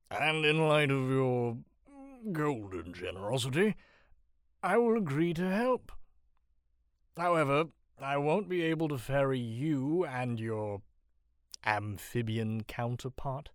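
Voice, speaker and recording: A man's voice: medium pitch, a bit bright, no room sound, studio quality. A man playing a drunken, cheeky sea captain in an audiobook, with slurred, snarky, jesting speech. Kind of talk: audiobook character acting.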